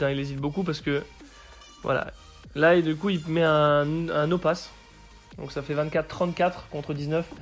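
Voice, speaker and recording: A man with a hoarse voice from a cold, commentating a card game match he played and explaining each play step by step. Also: fairly high pitch. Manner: explains plays step by step with frequent fillers.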